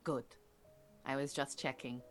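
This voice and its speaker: A woman acting a calm, exacting Iranian refugee beekeeper in an audio drama, speaking in a measured, dry and formal way. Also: very deep voice, faint music behind. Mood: dry, fond.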